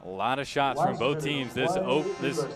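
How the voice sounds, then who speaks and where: A man's voice: mid-range voice, big pitch swings, moderate pace, over music. A male play-by-play announcer with a mid-range voice calls a soccer match live on radio. He follows the action in clipped, urgent phrases with emphatic delivery. Outdoor stadium sound sits faintly behind him.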